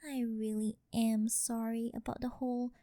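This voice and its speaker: A woman's voice: a little high, little pitch movement, normal speed, dark tone, dry recording, studio quality, natural voice. A woman voicing a rich, doting and possessive older girlfriend in an ASMR roleplay, coaxing and sweet-talking.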